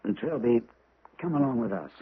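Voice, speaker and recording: An actor playing a considerate, husky gentleman friend who kindly takes charge, in a 1970s radio drama. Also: mid-range voice, expressive, a little fast. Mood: kindly, practical. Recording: phone-like, narrow old radio broadcast.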